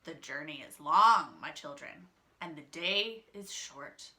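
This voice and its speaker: A woman's voice: deep voice, lively intonation, takes it slowly, bright, forceful tone, pitched below the performer's own voice, heavily acted. A woman voicing a cunning old storybook wolf who pretends to be a sweet, coaxing grandmother. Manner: coaxing, feigning affection.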